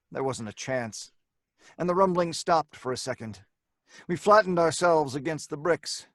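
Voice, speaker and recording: An actor playing a hard-boiled crook with an American accent, dramatically acting and narrating in old-time radio horror style. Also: male voice, a little high, a bit bright, dry recording, clean studio sound. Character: Stanley, hard-boiled male crook narrating his own doom.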